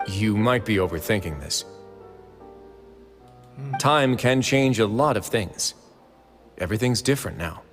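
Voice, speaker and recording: A confident easygoing warden. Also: male voice, lowish voice, a little slow, pauses often, in a large hall, soft background music. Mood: assured. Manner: relaxed, persuasive, assured. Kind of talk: video game voice acting.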